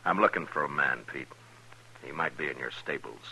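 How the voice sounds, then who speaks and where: An actor playing a stern, steady American marshal of the Old West in a 1950s radio western, terse and authoritative. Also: male voice, a bass voice, a little fast, exaggerated voice. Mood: businesslike. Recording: noisy old radio drama recording.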